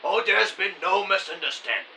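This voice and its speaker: Man playing a forceful, bossy comic mobster. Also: sits high, expressive, moderate pace, exaggerated voice. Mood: menacing. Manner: old-time mobster slang, threatening orders. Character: short plump middle-aged gangster boss-man, bossy and menacing. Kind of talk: comic gangster audio drama. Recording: slightly distant, hissy home recording.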